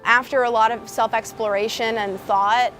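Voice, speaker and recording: Young woman, small nasal voice, candid and reflective interview, higher pitch, faint music bed. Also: steady tone, normal speed, a bit bright, poor audio. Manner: candid, thoughtful. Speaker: documentary interviewee.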